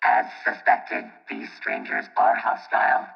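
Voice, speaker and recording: A man's voice: unhurried, dull audio, soft background music. A man playing a stiff, protective combat robot in an audio drama, speaking formally and literally through a robotic filter. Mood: grave. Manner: formal, clipped, literal phrasing.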